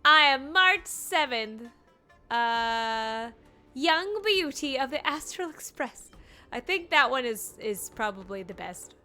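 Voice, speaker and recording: Bright, slow-paced woman streaming a game. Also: high voice, animated, soft background music. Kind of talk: casual live gaming commentary, reading dialogue choices aloud.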